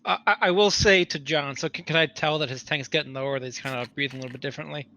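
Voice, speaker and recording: A man's voice: fairly high pitch, rapid-fire, bright, forceful tone. A man taking part as a player in a tabletop roleplaying game, chatting casually at the game. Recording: background noise, room ambience.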